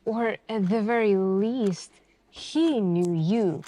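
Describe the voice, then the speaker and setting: A suspicious female detective played by a woman questions a suspect in an ASMR roleplay. She talks extremely slowly and deliberately, leaving pointed pauses. Her manner is measured, insinuating and quietly menacing. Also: medium pitch, close to an everyday voice. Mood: accusing, knowing. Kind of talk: slow ASMR roleplay interrogation.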